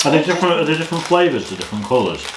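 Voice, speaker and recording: A man's voice: on the high side, very animated, very fast. A man chatting casually, with dry, blunt and teasing remarks while tasting snacks. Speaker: vlogger. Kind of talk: casual chat. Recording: echoey room, faint noise.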